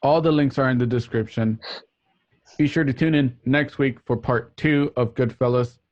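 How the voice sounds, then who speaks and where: A man's voice: mid-range voice, steady tone, moderate pace, darkish tone, no room sound, dull audio. A man hosting an online radio play show, signing off to listeners in a casual, jokey, rambling way over a group call. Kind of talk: casual, chatty sign-off to listeners. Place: remote group call from home.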